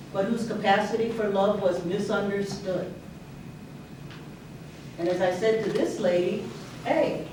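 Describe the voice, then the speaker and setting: A woman's voice: mid-range voice, a little mellow. A woman therapist giving an earnest, emphatic talk at a campus session, often restarting her sentences. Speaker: clinical therapist speaker. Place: university lecture room. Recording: echoey room, far from mic, faint hiss.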